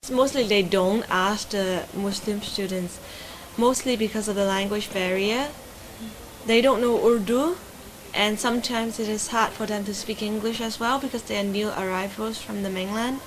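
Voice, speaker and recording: A woman teacher answering interview questions in a calm, explanatory way. Her is Cantonese, and her voice sits at a middle pitch. A faint background hiss runs under her speech. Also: normal speed, rough recording.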